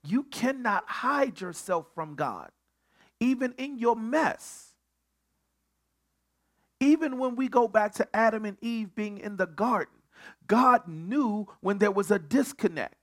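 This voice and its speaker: A man's voice: sits high, lively intonation, slow pace, fairly bright tone. A male pastor preaching at a midweek Bible study, building on repeated phrases and often stumbling over restarts.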